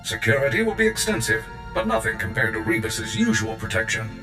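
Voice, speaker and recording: A loyal combat droid with a low voice, proud and zealous. Every word is formal and precise, like a military report in a video game. The sound is echoey, with music behind it. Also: male voice, big pitch swings, fairly quick. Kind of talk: scripted video game acting. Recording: game cutscene with echo and music.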